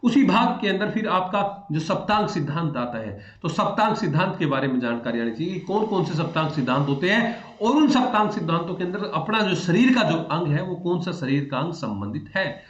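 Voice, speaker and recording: A man's voice: a little high, very animated, fairly quick, few pauses. Male teacher in an echoey room. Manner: conversational, repeats phrases, addresses students directly. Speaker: history teacher for exam aspirants. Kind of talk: explanatory online lecture in Hindi with English terms. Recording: echoey room, dull muffled audio.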